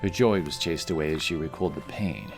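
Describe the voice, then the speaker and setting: A man's voice: extremely low pitch, animated, a little fast, creaky. A man reading scripted fantasy story narration as the narrator of an audio drama. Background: music.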